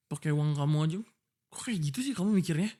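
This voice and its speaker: A man's voice: on the high side, expressive, fast talker, dark tone. A young man with an Indonesian accent, voicing a frustrated, pleading boyfriend in an ASMR roleplay.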